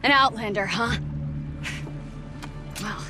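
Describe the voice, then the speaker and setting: A defiant lone huntress in a video game. She speaks slowly and tersely, with loud, tense music behind her. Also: fairly high pitch. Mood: guarded, defiant. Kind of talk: video game cutscene acting.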